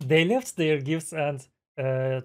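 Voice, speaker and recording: A man in his thirties with a Turkish accent, hosting a reaction video with halting, admiring remarks and fillers, speaking into a headset mic in a small room at home. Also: fairly high pitch. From Turkey. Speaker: reaction channel host. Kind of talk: casual reaction commentary. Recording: loud music from the video underneath.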